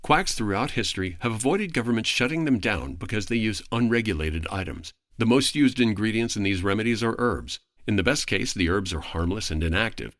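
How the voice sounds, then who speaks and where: A man speaking as a podcast guest, giving a prepared, articulate explanation in formal, measured phrasing. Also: on the low side, no echo, clean studio sound.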